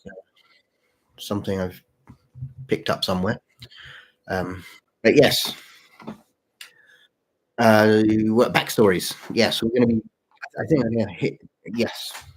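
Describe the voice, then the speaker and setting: Male streamer, fairly deep and rough-edged voice with a touch of sore throat, halting, informal, repetitive chat. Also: fairly even intonation, rough recording. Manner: halting, repeats phrases. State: mild sore throat, voice deeper than usual. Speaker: channel host and story writer. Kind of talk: casual livestream chat with viewers.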